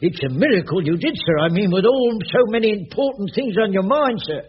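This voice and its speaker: Flustered, high-voiced English caretaker. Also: male voice, expressive, fairly quick, slight background noise. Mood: obsequious. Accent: English working-class. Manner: stammering, fawning, deferential. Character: jobsworth male caretaker of shabby flats, flustered, obsequious. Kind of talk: radio sitcom acting. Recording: dull vintage radio recording, studio audience.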